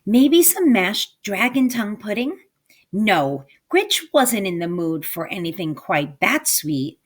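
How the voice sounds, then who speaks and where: A woman's voice: medium pitch, big pitch swings, slow pace, breathy edge. A woman reading a storybook aloud to children, with dramatic emphasis and coaxing questions to her listeners. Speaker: storybook reader, teacher. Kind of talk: expressive read-aloud to children.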